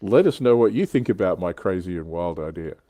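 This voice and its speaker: A low-pitched man talks as a show host in an easygoing, conversational way. His voice is relaxed and mellow, with keen interest in the hobby, and the sound is close and dry. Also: a little fast, no room sound. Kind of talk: casual hobby chat.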